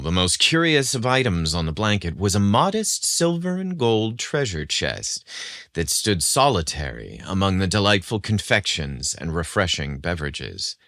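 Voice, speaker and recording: Deep-voiced man narrating a story. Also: a bit bright, no room sound, clean studio sound. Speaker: podcast host and story narrator.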